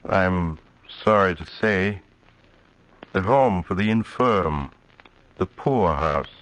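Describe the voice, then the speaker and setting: An actor playing a grave, courtly small-town judge, formal and solicitous, in a 1940s radio drama on a noisy old recording. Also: male voice, low-pitched, expressive, slow, fairly bright tone, exaggerated voice. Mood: somber.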